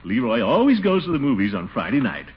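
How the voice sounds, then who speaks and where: An actor playing a pompous, blustering middle-aged bachelor uncle with a booming voice, given to chuckles, in a 1940s radio sitcom. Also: male voice, wildly swooping pitch, fast talker, slight background noise. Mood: flustered. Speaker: title character. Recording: dull vintage radio recording.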